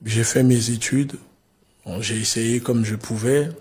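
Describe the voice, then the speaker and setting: Hesitant African-born man, flat and husky. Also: medium pitch, a little slow. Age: adult father. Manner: hesitant, many fillers and restarts. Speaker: guest, spokesman of a movement. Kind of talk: casual interview talk.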